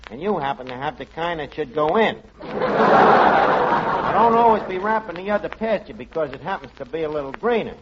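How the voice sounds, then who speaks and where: A man's voice: fairly high pitch, lively intonation, moderate pace, close to an everyday voice. A man playing a blustering, jealous tavern manager who mangles his words in a vintage radio comedy. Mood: peeved. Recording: muffled, very noisy old radio recording with audience.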